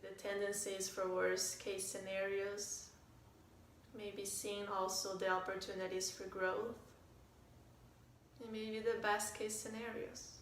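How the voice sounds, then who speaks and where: A woman leading a guided meditation as a teacher, speaking in a calm, gentle way with unhurried phrasing and pauses. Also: mid-range voice. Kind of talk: guided meditation, soft instruction. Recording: roomy, distant mic, faint noise.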